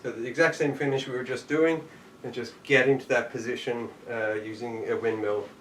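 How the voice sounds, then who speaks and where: A man teaching a live online jujitsu class, calling out each step as he demonstrates it. His voice sits at an ordinary middle pitch and bounces around an echoey room. The camera mic is a few steps away, and faint background noise sits under him. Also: fairly even intonation, normal speed, a bit bright, distant mic. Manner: demonstrating moves while talking, counting out steps. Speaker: jujitsu instructor.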